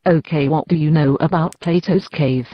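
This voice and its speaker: A synthetic female chatbot voice reads out short replies in a flat, even manner. The sound is dry and dull, with a muffled lo-fi quality. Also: sits low, moderate pace, no echo. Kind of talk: text-to-speech reading chat replies.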